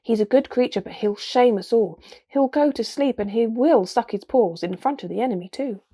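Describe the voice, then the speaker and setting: A woman's voice: fairly high pitch, normal speed, mellow, breathy edge, voice pushed up. A woman playing a grumpy, outspoken male dwarf, urgent and exasperated, in a storybook reading. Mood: urgent, disapproving. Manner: urgent whispering and bellowing, exasperated.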